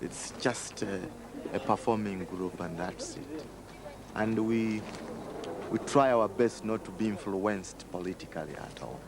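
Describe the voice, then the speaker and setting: A man slowly pointing things out and saying his group has no politics. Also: lowish voice, sing-song, vocal fry, noisy background.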